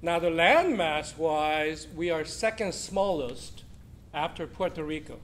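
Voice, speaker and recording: A man's voice: a little high, lively intonation, slow, a little hiss or hum. Man projecting to a hall. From South Korea. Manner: loud, projecting, chatty with the audience. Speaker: church official speaking to a congregation. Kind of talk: informal talk to a congregation. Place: church hall with a crowd. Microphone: weak room microphone.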